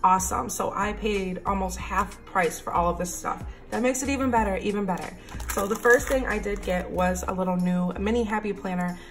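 A woman in her thirties talks in a raspy, slightly deep morning voice, chatty and excited as she shows off her purchases. She sounds close, as if at a table at home under an overhead camera. Soft music plays faintly beneath her. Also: a little fast. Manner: chatty, enthusiastic. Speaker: planner vlogger. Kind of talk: casual haul and flip-through.

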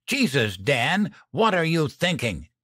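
Man voicing an anguished, defiant mother, pitched high. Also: very animated, slow pace, dry recording, clean studio sound, exaggerated voice. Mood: hurt, furious. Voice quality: harsh, tight. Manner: anguished, strained confrontation. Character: distraught, defiant wronged mother, harsh and pleading.

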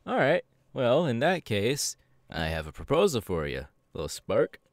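A man's voice: medium pitch, huge pitch swings, moderate pace, dry recording. A man playing a friendly, bashful young hero with a hesitant, playful manner in an ASMR audio roleplay. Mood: warm, tentative.

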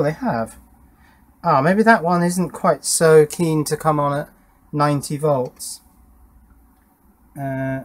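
A man gives a casual workshop demonstration, muttering questions to himself as he works through each step in a shed. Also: on the high side. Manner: muttering, self-questioning asides while working. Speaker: electronics hobbyist presenter. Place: small wooden garden shed workshop.

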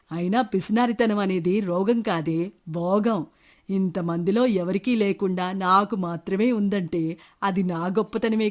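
A woman's voice: mid-range voice, a little mellow, lightly acted. Woman playing a scheming, stingy village man. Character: scheming stingy middle-aged village man, shameless freeloader. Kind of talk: dramatised moral story narration.

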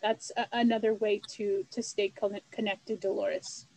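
A woman's voice: medium pitch, level tone, measured pace, dark tone, slight background noise, poor audio. A middle-aged woman hosting a casual community meeting over a video call, chatty and friendly with lots of fillers. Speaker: meeting host for a transit riders club. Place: indoors with a virtual background. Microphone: video call webcam.

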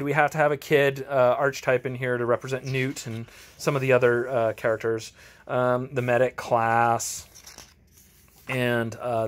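A man reviewing tabletop games in a casual, off-the-cuff way, halting and often interrupting himself as he rambles along. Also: medium pitch. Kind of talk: casual off-the-cuff product walkthrough. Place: home game garage, indoors. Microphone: handheld camera, held by the speaker.

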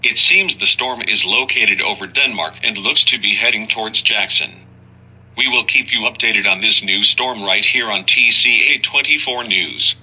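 A man's voice: fairly low pitch, moderate pace, sharp tone, slightly rough. A man anchoring storm coverage in the style of a TV weather news broadcast. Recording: dull, noisy lo-fi recording.

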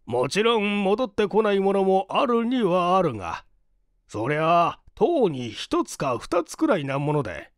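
A performer voicing a genial, naive, well-off older gentleman with a leisurely, pompous, old-fashioned way of talking in a storybook reading. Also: mid-range voice, no room sound, clean studio sound, pitched above the performer's own voice, lightly acted. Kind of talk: dramatized literary reading.

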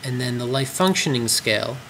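A man's voice: medium pitch, expressive, brisk, fairly bright tone, a little hiss or hum, low-quality recording. A man walking through clinic tracking forms on screen, explaining step by step with frequent ums and uhs. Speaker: clinic trainer. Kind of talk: screen-recorded software tutorial.

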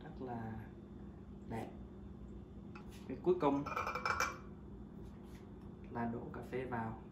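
A man's voice: medium pitch, takes it slowly, distant mic. Chatty man demonstrating recipe in echoey kitchen. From Vietnam. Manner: relaxed, chatty, frequent hesitation fillers. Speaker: home cooking vlogger. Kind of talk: casual how-to demonstration. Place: home kitchen, indoors. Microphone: camera mic on counter. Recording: roomy kitchen, voice off-mic, loud background noise.

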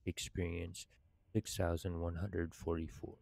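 A man in his thirties with a low, creaky voice hosts a gameplay video. He reads and comments in a halting, unhurried way, with little rise or fall in his tone. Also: little pitch movement, a little mellow, a little hiss or hum. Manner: measured, halting reading. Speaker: gaming video host reading quests aloud. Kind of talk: reading game text aloud, casual gameplay commentary. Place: indoors at a computer.

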